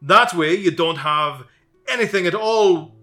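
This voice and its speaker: A man voicing an impatient male math wizard who lectures loudly and commandingly, with faint guitar music behind. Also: high-pitched, measured pace, voice pushed up.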